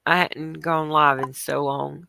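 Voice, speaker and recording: A woman's voice: sits low, creaky. A woman, host of a casual livestream, talking in a chatty, rambling way with a stuffy, congested voice. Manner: chatty, rambling, greets viewers, soft laughs.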